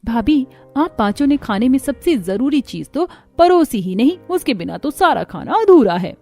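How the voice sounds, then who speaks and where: A woman's voice: sits high, big pitch swings, normal speed, darkish tone, soft background music, pitched above the performer's own voice, exaggerated voice. A woman voicing a bratty, demanding young sister-in-law in a cartoon story. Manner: whiny, demanding.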